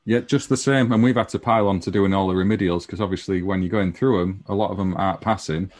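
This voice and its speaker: A man's voice: sits low, fairly even intonation, fast, a little mellow. A man, an electrical contractor, talking in a matter-of-fact way and grumbling about his workload in a casual chat. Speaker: electrical contractor, podcast guest.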